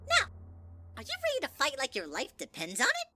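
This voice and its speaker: An actor playing a manipulative, sinister flower creature, with a sweet and mocking singsong delivery in a fan audio drama. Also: male voice, very high-pitched, huge pitch swings, brisk, noisy background, heavily acted. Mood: menacing.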